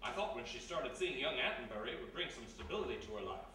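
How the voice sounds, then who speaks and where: Guy as polite rich worried dad in old radio mystery, formal, lively pitch, distant mic in echoey room. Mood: troubled. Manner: formal, measured. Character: courteous wealthy father, worried about grown daughter. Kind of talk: live old-time radio play acting. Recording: echoey live hall, distant mic, faint noise.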